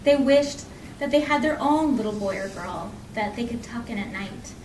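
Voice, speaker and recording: High-voiced woman storyteller in an echoey room. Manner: expressive, conversational, with pauses and repeated phrases for effect. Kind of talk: live oral folk tale storytelling. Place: indoor library program before an audience. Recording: echoey room from far off, faint noise.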